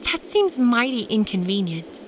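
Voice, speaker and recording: Storybook role: female narrator as sharp, questioning college girl, nervy and skeptical, with clear background noise. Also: on the high side, big pitch swings, moderate pace. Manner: interrupting, questioning. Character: Cynthia, young college-age woman, smart, nervous, skeptical questioner.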